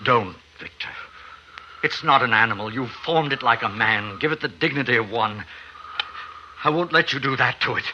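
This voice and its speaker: An actor playing an anxious, moralizing friend, grave, hesitant and pleading, in 1950s radio drama style. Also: male voice, mid-range voice, normal speed, pushed and bright, muffled recording. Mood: urgent pleading. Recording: noisy 1950s radio recording.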